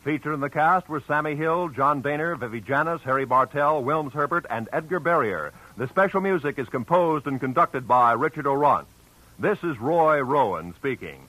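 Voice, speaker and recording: Bright, formal old-time radio announcer. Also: male voice, fairly high pitch, expressive, moderate pace, rough recording. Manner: crisp, formal sign-off delivery. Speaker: show announcer. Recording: old radio broadcast with music.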